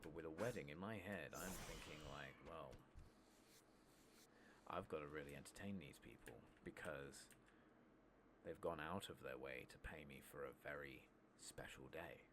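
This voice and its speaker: A very low-pitched man murmurs softly with a gravelly creak, close to the mic. He chats along in an unhurried, rambling ASMR gaming style, over loud background noise. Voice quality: soft-spoken, breathy murmur. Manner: rambling, self-deprecating, unhurried chat. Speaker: ASMR video game streamer. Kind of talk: soft-spoken ASMR gaming commentary. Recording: clearly noisy, constant loud background ambience.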